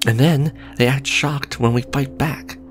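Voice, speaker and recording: A man playing a smug, suave villain businessman in a romantic ASMR audio drama, sardonic and conversational, teasing, with a low gravelly voice. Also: fairly even intonation, measured pace, faint music behind, lightly acted. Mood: exasperated. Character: smug male villain, suave luxury fashion businessman on a date.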